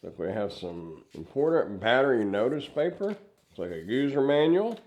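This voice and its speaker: A man's voice: medium pitch, very animated, normal speed, a little mellow. An older man giving a casual hands-on product review, explaining things step by step in plain words.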